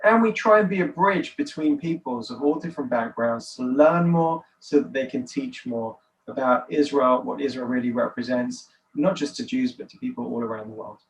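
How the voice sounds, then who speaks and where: A man's voice: medium pitch, moderate pace, hardly any pauses. A man with a British accent speaking as an interview guest, explaining his work. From United Kingdom. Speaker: podcast guest, nonprofit director. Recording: distant mic, faint background noise.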